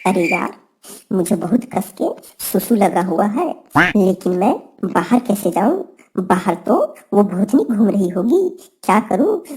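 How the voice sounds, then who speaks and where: A woman's voice: medium pitch, mellow, husky. A woman voicing a cheeky, cowardly young male village bird in a cartoon, with comic, fretful rustic talk.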